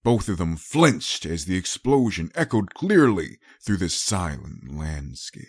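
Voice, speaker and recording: A man's voice: low-pitched, no echo, clean studio sound. A man reading a scripted story as an audiobook narrator, in a dramatic storytelling style.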